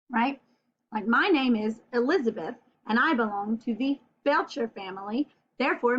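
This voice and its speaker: Slow-talking woman teaching young children, dull recording. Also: on the high side. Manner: sing-song and expressive, with drawn-out key words and questions to the listener. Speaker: children's teacher and storybook reader. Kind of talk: read-aloud vocabulary lesson for young children.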